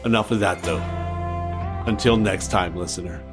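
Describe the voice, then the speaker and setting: Low-voiced male narrator over guitar music. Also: moderate pace. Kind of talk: scripted audio drama outro narration. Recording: music behind, acoustic guitar.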